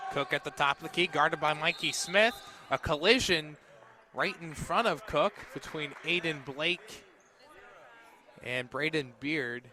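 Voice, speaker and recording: A man calling a live basketball game on radio as the play-by-play announcer. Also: fairly high pitch.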